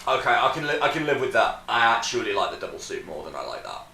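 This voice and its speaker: A man's voice: medium pitch, very animated, fast, sharp tone. A man chatting casually in a friendly debate, hedging and conciliatory, often repeating himself. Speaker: friend giving opinions on rankings. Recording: echoey room, distant from mic.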